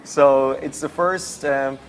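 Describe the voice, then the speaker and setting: A man's voice: mid-range voice, fairly even intonation, slow, slight background noise, poor audio. Swiss-accented man, casual athlete guest. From Switzerland. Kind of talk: casual storytelling interview. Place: TV studio.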